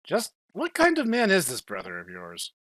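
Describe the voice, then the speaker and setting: A man's voice: sits high, huge pitch swings, moderate pace, a bit bright, dry recording, studio quality. A man co-hosting a comic dramatic reading, reading parts and trading playful banter. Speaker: podcast co-host and reader.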